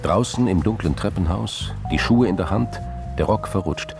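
A male narrator reads literary crime-drama prose. His voice is extremely low with a gravelly fry. Music plays behind him. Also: normal speed.

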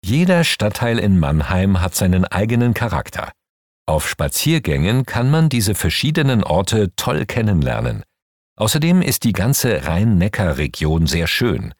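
A middle-aged man from Romania, a Hungarian native speaker and language teacher, slowly and clearly reading a first-person account for a learning audio. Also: low voice, big pitch swings, no echo, studio quality.

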